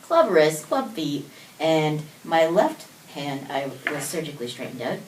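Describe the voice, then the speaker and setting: Very low-voiced woman in her twenties, a loose, chatty guest, heard from off mic in an echoey room. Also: big pitch swings, measured pace, distant mic. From born in South Korea, raised in the United States. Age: 20s. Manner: laughing, casual, loose and talkative. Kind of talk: interview answers. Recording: roomy echo, voice from off mic, faint noise.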